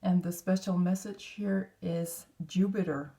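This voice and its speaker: A woman from Denmark with a Danish accent, giving an unscripted spiritual card reading to viewers in a measured, halting way. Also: on the low side, steady tone, gravelly, mellow. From northwestern Denmark. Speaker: tarot and oracle card reader.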